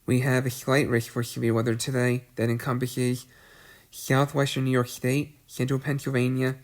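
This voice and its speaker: Brief: male weather host with a cold, stuffy and congested, mid-pitched, monotone, frequent throat clearing. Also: moderate pace. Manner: clears throat often, restarts phrases; reads long lists of places in a level voice.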